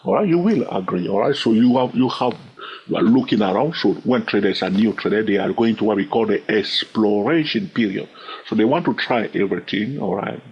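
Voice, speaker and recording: Animated man teaching trading, husky voice. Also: brisk, lo-fi sound. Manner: repeats "all right?" to the viewer, asks questions; animated, sudden upward pitch jumps. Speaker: trading educator. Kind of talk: screen-share trading lesson.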